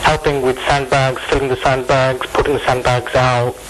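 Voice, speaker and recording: Male British charity spokesman, mid-pitched, earnest and modest, interviewed by phone with clear noise on the line. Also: little pitch movement, moderate pace. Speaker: charity representative, interview guest. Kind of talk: informal radio phone interview. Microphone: telephone. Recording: noisy phone line into radio.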